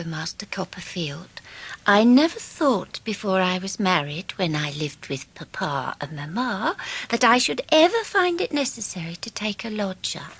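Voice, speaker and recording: An actress playing a faded, middle-aged British gentlewoman, anxious and confiding, with a breathy voice, in a radio drama. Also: medium pitch, exaggerated voice. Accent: British English. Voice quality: husky, breathy. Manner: genteel, tremulous, confiding. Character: faded genteel wife, anxious, self-dramatising, proudly well-bred.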